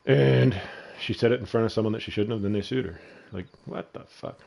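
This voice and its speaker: A man's voice: lowish voice, fairly quick, vocal fry, a little mellow, slight background noise. A middle-aged man with a Canadian accent, rambling and swearing through casual commentary while playing a video game. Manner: rambling and profane. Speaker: gaming video host.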